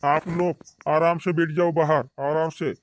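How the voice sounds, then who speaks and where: A man's voice: on the high side, little pitch movement, a little slow, no room sound, lightly acted. A man playing an officious but soothing hospital guard in an amateur cartoon comedy, explaining things repetitively.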